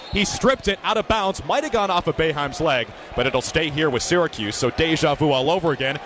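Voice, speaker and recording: A man in his twenties doing live sports commentary and reporting in a projected, energetic, detailed announcer style. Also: on the high side, slight background noise. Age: 20s.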